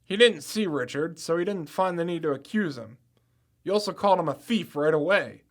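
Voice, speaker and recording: A confident young campus sleuth acted by a man in an audio drama. His voice sits a little high, and he explains things matter-of-factly, slipping in wry, punning asides. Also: lively intonation, brisk, close to an everyday voice.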